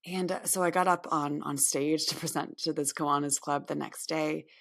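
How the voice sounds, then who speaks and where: A woman's voice: low voice, steady tone, moderate pace, vocal fry, a bit bright. A woman in her thirties from upstate New York, speaking candidly and reflectively as a podcast guest, often restarting her words. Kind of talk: podcast interview.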